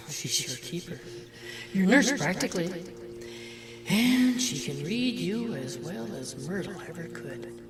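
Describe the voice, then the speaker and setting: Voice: mid-range voice, sing-song, takes it slowly. An actor playing the mocking, disgusted inner voice of an old man, sardonic and needling, in an audio drama. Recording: roomy echo, music behind.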